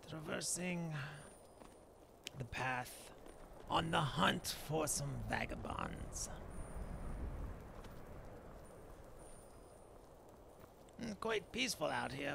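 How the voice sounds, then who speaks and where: A man voicing a proud, stubborn male dark elf shaman in a fantasy game roleplay, musing haltingly in formal, old-fashioned phrasing. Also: on the high side, gravelly, background noise, exaggerated voice. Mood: amused, calm. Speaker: gameplay host roleplaying in character. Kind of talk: improvised in-character fantasy game narration.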